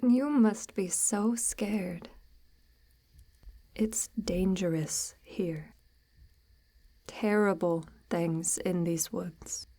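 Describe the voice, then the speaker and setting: Casting: woman as coaxing forest witch for ASMR roleplay; mellow, breathy, near-whispered, very unhurried, formal, softly menacing. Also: fairly low pitch, heavily acted. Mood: coaxing, eerily gentle. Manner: unhurried, formal, archaic-tinged, gently menacing. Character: soft-spoken, sinister, coaxing forest witch luring a lost traveler. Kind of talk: ASMR audio roleplay, slow intimate monologue.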